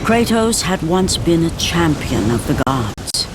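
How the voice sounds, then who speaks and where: A man's voice: on the high side, big pitch swings, takes it slowly, very noisy. A man narrating a video game story in a grave, dramatic tone. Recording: loud music behind.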